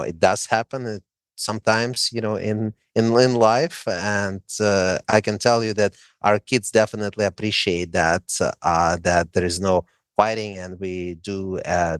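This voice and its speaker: A man's voice: on the low side, clear, resonant tone, no echo. Russian-accented guy giving pep-talk advice, lots of uhs, dry close mic. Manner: emphatic, coaching tone with frequent "uh" fillers. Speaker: guest, franchise owner. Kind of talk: casual interview chat.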